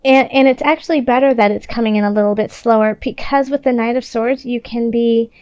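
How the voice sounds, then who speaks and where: Higher-voiced woman, reassuring, dull dry recording. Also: fairly even intonation, brisk, darkish tone, clean tone, no echo. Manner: reassuring, encouraging. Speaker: tarot reader. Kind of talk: casual, improvised tarot card reading to camera.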